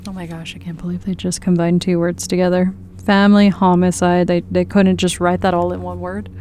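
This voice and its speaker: A woman's voice: on the low side, flat delivery, fairly quick, warm tone. A woman casually reading a visual novel aloud as she plays, stumbling over lines and correcting herself. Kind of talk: casual let's-play reading of game dialogue. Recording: clear background noise.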